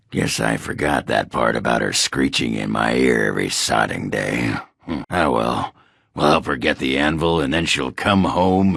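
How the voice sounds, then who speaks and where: A hard-drinking dwarf warrior for a fantasy game, with an extremely deep voice full of heavy gravel. He is crude and blunt, taking his time over coarse, swearing lines. The sound is forceful and rough. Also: dry recording, studio quality, full character voice. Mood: wry, grumbling. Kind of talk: video game character dialogue.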